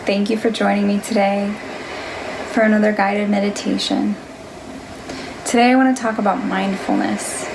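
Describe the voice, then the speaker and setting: A woman leading a guided meditation, giving gentle, unhurried instructions in a soothing manner. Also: mid-range voice, background noise. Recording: music behind the voice. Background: music.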